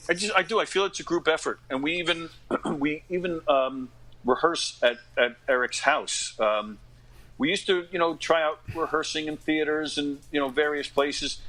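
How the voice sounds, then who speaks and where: An American man in his fifties talking casually as an interview guest, in a rambling, self-effacing, animated way. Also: fairly high pitch, low-quality recording. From United States. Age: 50s. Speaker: guest, actor and comedy dinner theater director.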